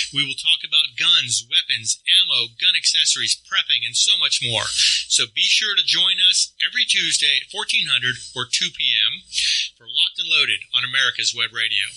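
A man booming a radio show promo in showman announcer style. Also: bright, forceful tone, low-quality recording.

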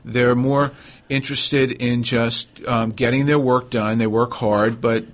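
A middle-aged man with a New York accent hosting a conversational radio talk show, speaking in a halting way with frequent pauses. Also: medium pitch, steady tone. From New York, USA.

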